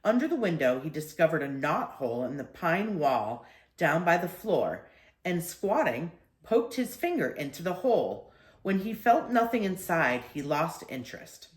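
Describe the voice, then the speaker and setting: An older woman narrates a children's book in an expressive storytelling style. Her voice is slightly low and moves through lively swings of pitch, with the room's echo around it. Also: moderate pace. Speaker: storyteller reading to grandchildren. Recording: echoey room.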